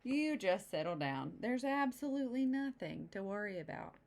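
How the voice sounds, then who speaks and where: A woman voicing a boastful father bear from a children's storybook, with a drawn-out, blustering delivery. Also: medium pitch, sing-song, vocal fry, darkish tone. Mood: reassuring. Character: boastful, sneezy father bear, adult male.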